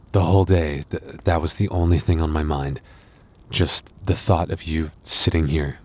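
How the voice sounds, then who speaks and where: Guy doing a super deep werewolf bf, hurt and worn out, soft halting talk, protective but vulnerable, intimate asmr roleplay. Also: slightly rough, exaggerated voice. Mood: tender, relieved. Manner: halting, sighing, softly earnest delivery. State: weary, hurting. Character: wounded, tender young male werewolf boyfriend, protective and vulnerable.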